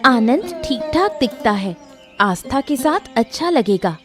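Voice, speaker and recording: A woman's voice: sits high, big pitch swings, measured pace, music in the background, voice pushed up. A woman voicing a young elder sister in a cartoon story, an insistent daughter. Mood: agreeable. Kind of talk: dramatized Hindi cartoon story.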